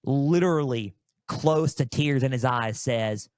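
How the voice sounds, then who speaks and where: A man's voice: mid-range voice, a little slow, darkish tone, dry recording, clean studio sound. A man in his early twenties talking solo as a sports podcast host, conversational and storytelling, repeating phrases for emphasis.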